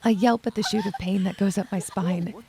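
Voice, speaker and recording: A woman playing a lonely, shaken young woman in hospital, narrating her own story in a soft, breathy, hushed and dramatic way. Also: medium pitch, fairly even intonation, fairly quick, close to an everyday voice. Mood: distressed, tense. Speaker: narrator and lead character. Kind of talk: first-person audio drama narration.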